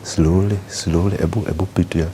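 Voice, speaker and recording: A middle-aged man from Kenya with a Kenyan accent, guest on an interview podcast, telling anecdotes slowly with many fillers and restarts. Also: deep voice, steady tone, mellow, a little hiss or hum. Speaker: guest executive.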